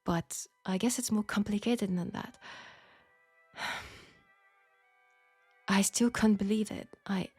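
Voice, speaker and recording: A woman acts as a Parisian seamstress in a steampunk audio drama, with a French accent. Her voice is husky and soft, and she takes her time, pausing between phrases as she speaks intimately to one listener. The sound is close and dry, with faint music underneath. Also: mid-range voice, fairly even intonation, no echo. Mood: troubled, compassionate. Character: flirtatious, caring Parisian seamstress in a steampunk tale. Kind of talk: intimate scripted audio roleplay addressed to the listener.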